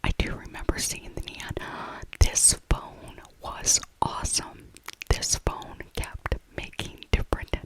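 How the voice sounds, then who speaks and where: A middle-aged woman whispering a casual, rambling ASMR shopping voiceover, softly repeating her phrases. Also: takes it slowly, low-quality recording. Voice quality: soft unvoiced whisper. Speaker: ASMR creator.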